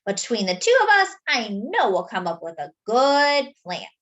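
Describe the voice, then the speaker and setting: Reasonable, mildly fussy storybook man, read by a woman, reassuring and earnest, pitched high with animated inflection. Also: normal speed, muffled recording. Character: kindly, reasonable, slightly fussy grown man.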